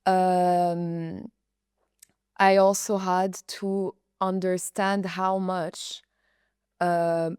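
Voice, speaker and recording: Slow, thoughtful woman guest. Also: on the low side, little pitch movement, darkish tone, clean tone, dry recording, studio quality. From Congo. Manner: slow, thoughtful, many pauses and fillers. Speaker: podcast guest. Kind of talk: reflective personal interview.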